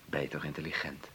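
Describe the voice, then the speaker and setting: Very low-voiced, scheming lawyer brother. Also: male voice, bright, forceful tone, breathy edge, heavily acted. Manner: glib, slangy, persuasive, hesitating over delicate words. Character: brash, scheming lawyer brother, broke and pushy. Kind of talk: Dutch radio play acting. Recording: hissy old radio play recording.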